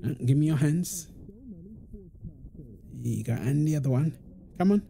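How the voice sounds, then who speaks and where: A man playing a playful, affectionate young father in an intimate roleplay audio, chatting casually and softly as he teases. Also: fairly high pitch, animated, normal speed, gravelly, a little hiss or hum. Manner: teasing, soft-spoken, chuckling, informal.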